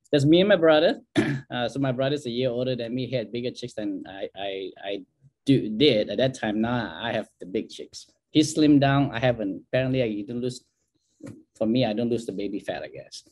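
A man's voice: mid-range voice, moderate pace, darkish tone. A middle-aged man from Malaysia on a video call, presenting in a casual, joking way and laughing often. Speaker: guest speaker, university dean. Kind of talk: casual, self-deprecating presentation. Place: home office with plants.

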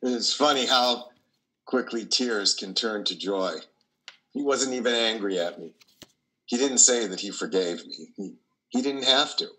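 An older man, in his sixties or beyond, playing a troubled, compassionate priest in a deliberate, theatrical, musing monologue. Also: fairly low pitch, pushed and bright, poor audio. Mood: tender. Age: 60s or older. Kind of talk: dramatic monologue in play reading. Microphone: video call, close to camera.

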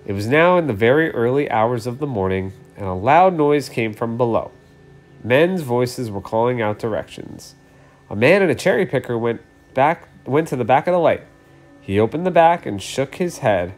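A man's voice: medium pitch, lively intonation, moderate pace. A man in his thirties reading a children's story aloud expressively, as a storyteller.

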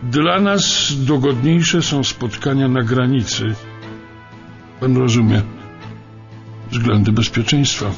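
An actor playing a measured, cautious German intelligence officer in a radio documentary drama, with a gravelly voice. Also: male voice, medium pitch, lo-fi sound, soft background music. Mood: measured, guarded.

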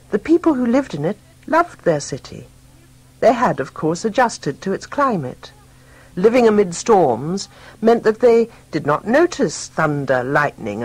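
Female storyteller: measured, wry delivery, breathy-rough tone, widely varied intonation. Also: on the low side. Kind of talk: scripted story narration.

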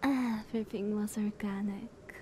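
A woman playing a playful, teasing younger vampire sister in ASMR roleplay, sultry and breathy, often near a whisper, coy and purring. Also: medium pitch, fairly even intonation, a little slow, slight background noise, lightly acted. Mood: amused, nostalgic. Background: soft ambience.

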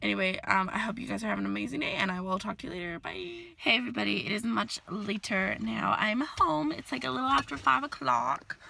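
A woman's voice: mid-range voice, expressive, quick pace, vocal fry, hardly any pauses, pushed and bright, slight background noise. A woman in her twenties, chatting casually and rambling with lots of fillers, filming herself on a phone held at arm's length inside her car. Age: 20s. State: hoarse, losing her voice. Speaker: vlogger. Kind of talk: casual vlog chat.

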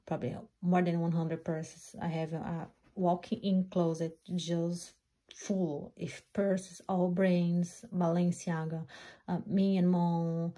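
A Brazilian woman in her thirties with a Portuguese accent, reviewing a handbag in halting English and laughing often, recorded on a phone indoors. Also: on the low side, warm tone. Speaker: purse reviewer. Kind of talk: casual product review. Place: small craft room indoors. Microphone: phone camera.

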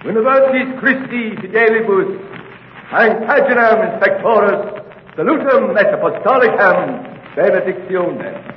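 Stately church cardinal reading a decree, echoey old muffled radio drama. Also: male voice, sits high, expressive, unhurried, a little hiss or hum. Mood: solemn. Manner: stately, ceremonial. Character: solemn papal cardinal reading a Latin decree. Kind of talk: 1950s historical radio drama acting.

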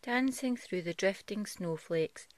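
A woman reading a picture book aloud as a parent would, in a soft, gentle storytelling style. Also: medium pitch, animated, a little slow, slight background noise. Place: bedroom, on a bed at home.

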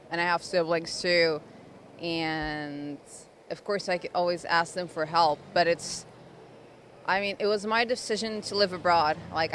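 A woman's voice: on the low side, a bit bright, slight background noise. Candid thirty-year-old woman on the street. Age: 30s. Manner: candid, laughing, restarts often. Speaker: interviewee. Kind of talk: casual street interview. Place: city street outdoors. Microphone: handheld mic.

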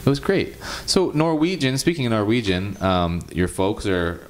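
Young male interviewer, very low pitch, easygoing filler-heavy delivery, handheld mic, faint room noise. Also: moderate pace. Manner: laid-back, filler-heavy, laughs easily. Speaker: interviewer and host. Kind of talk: casual interview chat. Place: living room with instruments, indoors. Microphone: handheld microphone.

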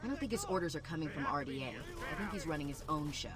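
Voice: medium pitch, expressive, fast, over music, lightly acted. An actor playing a serious, guarded military ally in a video game, speaking with focus.

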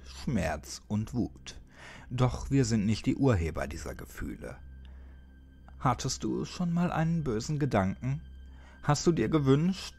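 A man narrating a horror story as a dramatic audiobook, addressing the listener ominously with pauses and occasional near-whispers. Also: medium pitch, darkish tone. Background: music.

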